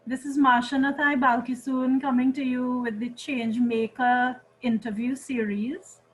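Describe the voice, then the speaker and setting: A woman with a Trinidadian accent hosting an interview series, introducing her guest in measured, welcoming words. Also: high voice, little pitch movement, slight background noise, poor audio. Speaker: interview host. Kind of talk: hosted interview.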